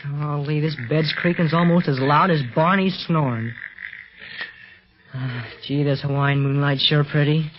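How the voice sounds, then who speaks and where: A man's voice: a little high, fairly even intonation, unhurried, close to an everyday voice. An eager boy adventurer acted by a male performer from a 1930s radio serial. He is plucky and earnest, with breathless exclamations and a raised young voice. The old recording is muffled and narrow. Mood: hushed alarm. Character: eager, plucky boy junior secret police agent.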